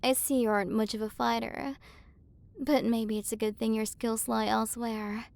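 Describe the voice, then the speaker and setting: Woman acting a high-voiced, proud tsundere warrior queen. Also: flat delivery, moderate pace, creaky. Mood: tender, softened. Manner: haughty but flustered, softening into intimate murmurs. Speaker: voice actor. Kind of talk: ASMR romantic roleplay acting.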